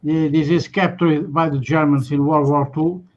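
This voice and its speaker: Slightly high male guest, halting explainer, muffled stream sound. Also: steady tone, noisy background. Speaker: guest showing models. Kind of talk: casual show-and-tell. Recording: dull lo-fi livestream audio.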